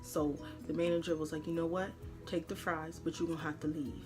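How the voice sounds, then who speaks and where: A woman podcast host telling a personal story in an animated, colloquial way, dramatically acting out the dialogue. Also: low voice, brisk, over music.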